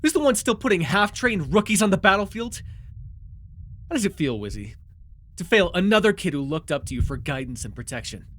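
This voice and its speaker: A man's voice: high-pitched, big pitch swings, brisk, a bit bright, heavily acted. A man playing a sardonic telepath villain who is a reluctant, protective mentor in a superhero audio roleplay, intense and emotive. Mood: bitter, scornful. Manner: intense, emotive, swinging between biting and tender.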